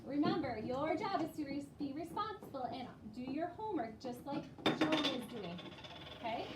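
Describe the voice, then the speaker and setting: A high-voiced woman teaches a class of young children. She explains things instructively and keeps checking in with questions. The sound is roomy and distant, with clear background noise. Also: lively intonation, normal speed. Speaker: elementary school teacher. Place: indoor classroom.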